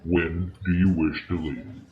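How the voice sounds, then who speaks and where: Man voicing a deep, sensible big bear. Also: a little slow, dull audio. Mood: sensible, dry. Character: big strong male talking bear, sensible, patiently exasperated. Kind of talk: old-time radio drama acting.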